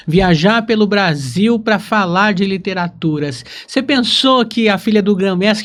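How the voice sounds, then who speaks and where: A man with a Brazilian accent hosting a casual, conversational interview. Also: high voice. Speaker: podcast host, interviewer.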